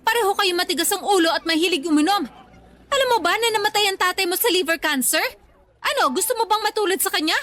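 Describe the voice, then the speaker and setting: A woman's voice: extremely high pitch, fast talker, a bit bright. A woman playing a scolding, worried mother in a Tagalog radio drama, with a nagging, exasperated way of talking. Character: scolding, worried mother of a hard-drinking young son.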